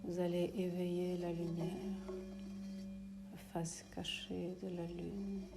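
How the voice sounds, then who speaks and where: A woman teaching yoga nidra, guiding a meditation in a soothing, hushed, breathy delivery that often drops to a near whisper, with long pauses. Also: lowish voice, little pitch movement, over loud music. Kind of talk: guided meditation.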